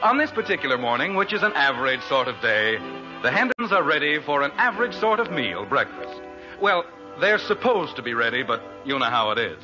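A man playing a wry, mock-solemn narrator of a family comedy in formal, deliberate 1940s radio style. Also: medium pitch, big pitch swings, lightly acted. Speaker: announcer, narrator. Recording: muffled 1940s radio broadcast with music.

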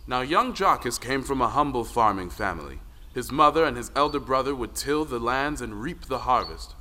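A man's voice: mid-range voice, lively intonation, moderate pace, sharp tone. An actor playing a male storyteller who narrates an old folktale in an audio play. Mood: storytelling. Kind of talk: scripted dramatic folktale narration. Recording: long reverb, music behind the voice. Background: music.

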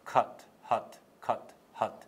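A man giving a one-on-one English pronunciation lesson in an encouraging way, full of praise, demonstrating sounds. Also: fairly quick. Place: meeting room, indoors. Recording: noisy meeting-room recording.

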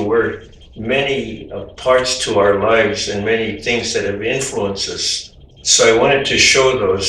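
Older guy slowly explaining his art, lots of uhs and restarts, sounds far off in a really echoey room. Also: medium pitch, slight background noise. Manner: gentle, halting, many fillers and restarts. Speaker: artist. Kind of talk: informal talk about his artwork. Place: home room with windows, indoors.

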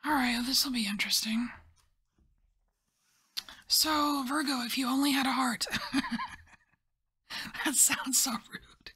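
A woman softly whispering and musing as she lays out and reads tarot cards in an ASMR style. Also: high-pitched, fairly even intonation, slightly rough. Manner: soft whispering, musing and unhurried. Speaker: tarot reader.

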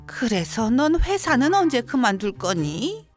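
A woman's voice: fairly high pitch, sing-song, unhurried. A woman playing an entitled, sick older mother-in-law, groaning and nagging in a dramatized story video. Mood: demanding, irritated. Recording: music behind the voice.

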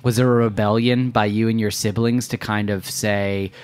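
A man's voice: lowish voice, flat delivery, normal speed, clear, resonant tone. A man hosting a food podcast, asking curious, rambling questions with restarts. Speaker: podcast host. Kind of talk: interview questions.

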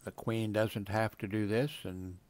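A man's voice: on the low side, flat delivery, a little slow, darkish tone, no room sound. A man in his seventies reading web pages aloud at his home computer and wandering off into casual asides full of fillers. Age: 70s. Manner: meandering, many fillers and trailing asides. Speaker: video blogger.

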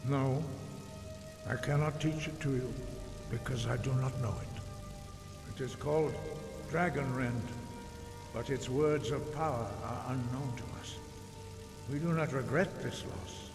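An actor playing a stern, wise old monk master in a fantasy video game, speaking in a solemn, formal, measured and admonishing way. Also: male voice, medium pitch, creaky. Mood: contrite, grave. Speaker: game character, mentor. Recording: echoey stone hall, music behind.

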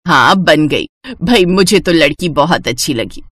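A woman's voice: deep voice, animated, measured pace, a bit bright, husky, no room sound, clean studio sound, exaggerated voice. A woman voicing a homely older village mother in a cartoon story, bossy yet loving, chatty and emphatic.

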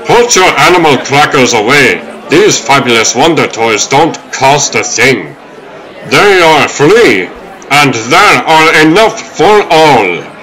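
An old cartoon turtle showman, acted by a man, speaks slowly and grandly. He is wordy and theatrical, drawing out his patter like a huckster. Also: mid-range voice, very animated, sharp tone, some chatter behind, full character voice, rough recording. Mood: showy, eager. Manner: theatrical sales patter, drawn-out delivery. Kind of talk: comic dub character acting.

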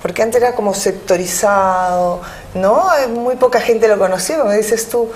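A woman's voice: a little high, normal speed, slight background noise. A middle-aged woman with a Peruvian accent, answering interview questions in a TV studio, hesitating often with fillers. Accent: Peruvian Spanish. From Peru. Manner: hesitant, many fillers, animated hands. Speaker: business owner guest. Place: TV studio with city backdrop.

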